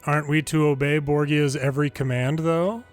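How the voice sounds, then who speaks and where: Male game narrator, slightly high voice, reads story text aloud with casual delivery, faint music underneath. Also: fairly even intonation, normal speed, clear, resonant tone. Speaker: game longplay narrator reading dialogue aloud. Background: video game music.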